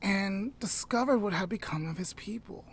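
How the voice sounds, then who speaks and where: A woman podcast host telling a story aloud informally, in a measured way with dramatic pauses. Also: mid-range voice, a little hiss or hum.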